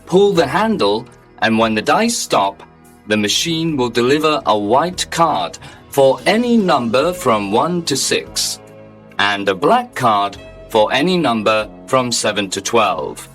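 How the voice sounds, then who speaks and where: Calm heavenly receptionist, read by a man, slow and formal with a lively lilt, light music under it. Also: medium pitch. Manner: slow, measured, formal and unbothered. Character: calm polite male heavenly receptionist clerk. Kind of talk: audiobook dialogue reading.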